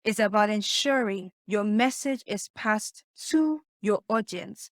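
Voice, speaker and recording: A woman with a soft voice, teaching communication skills as a coach in an emphatic way, often putting questions to the listener. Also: mid-range voice, unhurried, dry recording, studio quality. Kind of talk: teaching presentation tips to camera.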